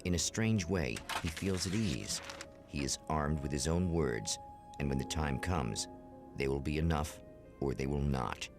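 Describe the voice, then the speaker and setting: An actor playing the solemn, portentous narrator of a vintage mystery anthology show, in measured dramatic narration. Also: male voice, extremely low pitch, music in the background.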